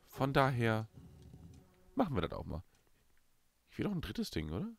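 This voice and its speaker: A young man hosts a let's play in casual, chatty commentary. His voice is still hoarse from a recent cold. His pitch is lively and swings widely, from sudden loud outbursts down to quiet mumbling. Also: normal speed. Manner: animated, swings from loud bursts to low mutters.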